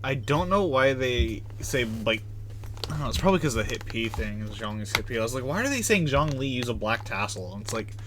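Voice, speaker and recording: A man's voice: medium pitch, big pitch swings, fairly quick, background noise. A man live-streaming a game, chatting casually and thinking aloud in a rambling way. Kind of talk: casual livestream gameplay chat.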